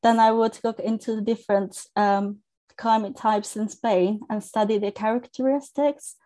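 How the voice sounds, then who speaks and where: Earnest young woman from China, warm tone. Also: fairly high pitch, fairly even intonation, normal speed. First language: Chinese. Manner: thoughtful, earnest, with frequent restarts. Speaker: academic coach, guest. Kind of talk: podcast interview.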